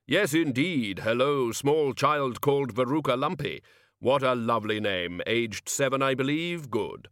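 A man voicing a jolly, booming old winter gift-giver who laughs heartily, in a storybook reading. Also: animated, unhurried, a bit bright, no room sound. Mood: jovial.

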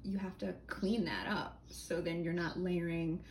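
A woman actor with a slight Boston accent under neutral American speech, chatting casually as a podcast co-host. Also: measured pace. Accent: slight Boston, mostly neutral American. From Boston area. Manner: chatty, animated, drops into high put-on voices for quotes. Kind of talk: casual chat. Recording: roomy echo, faint background noise.

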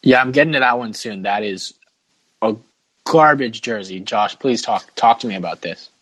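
A man's voice: fairly low pitch, moderate pace, a bit bright, breathy edge, low-quality recording. A man hosting a casual sports podcast, talking bluntly and informally and putting questions to the others. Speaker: podcast host.

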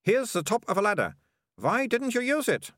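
A man reading aloud and voicing a bossy, excitable young girl who talks in a brisk, self-assured way. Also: sits high, no room sound, clean studio sound, pitched above the performer's own voice.

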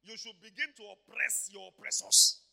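Pastor, really high bright voice, goes from soft asides to shouting. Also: male voice, steady tone, moderate pace, gravelly. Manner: swings from quiet asides to shouted exclamations, acts out quoted voices. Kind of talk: energetic sermon. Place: church hall.

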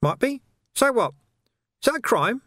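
A man reading a story, voicing a sly, cheeky street urchin boy with cocky slang in a high, lively voice. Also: normal speed, no room sound, clean studio sound, heavily acted.

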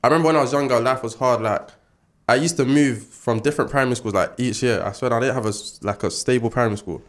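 Fast-talking teenage London man. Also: medium pitch. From born in Ghana, grew up in South London. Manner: slangy, frequent filler tags such as like and innit. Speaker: podcast guest, entrepreneur. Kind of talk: casual chat, personal testimony.

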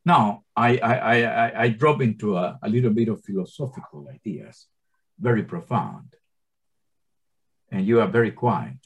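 A man in his sixties teaching scripture to a small group in non-native English. His pitch swings widely as he presses his points, and he often stumbles and restarts his phrases. The grammar slips are constant, but his delivery stays earnest and fervent. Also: animated, normal speed. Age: 60s. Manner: impassioned, many stammered restarts. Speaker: Bible teacher.

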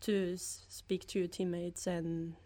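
Slow-talking woman, laughing often. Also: lowish voice, level tone, mellow. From Norway. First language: Norwegian. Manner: laughs often, hesitant with many ums. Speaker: guest, former student athlete. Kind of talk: casual conversation.

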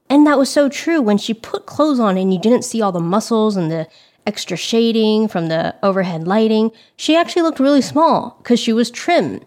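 A woman hosting a podcast, talking in a candid, chatty way and swearing now and then. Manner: animated, pitch jumps when exasperated. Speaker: podcast host. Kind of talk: casual monologue.

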